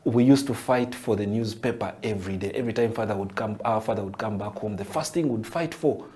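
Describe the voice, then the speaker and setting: A young Ugandan man, a poet, answering interview questions with animated storytelling and frequent restarts. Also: lowish voice, fairly quick. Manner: animated storyteller, repeats words and restarts phrases. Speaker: poet and writer, interview guest.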